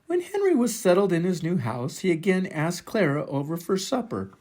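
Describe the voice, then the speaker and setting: An older man reads a children's picture book aloud in an expressive, dramatic way, drawing out words for emphasis. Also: sits high. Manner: dramatic, deliberate, drawn-out emphasis. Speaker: storybook reader for children.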